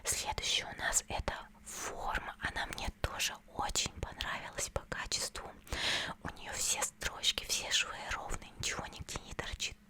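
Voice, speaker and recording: A woman whispers softly as she walks through a product review in ASMR style. Her voice stays breathy and unvoiced, as a gentle whisper from start to finish. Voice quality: soft whisper. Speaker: ASMR creator.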